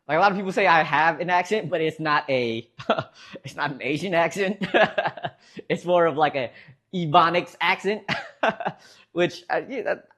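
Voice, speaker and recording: A man's voice: a little high, measured pace, fairly bright tone. A man with a Midwestern American accent streaming from home, rambling casually to his chat and laughing often.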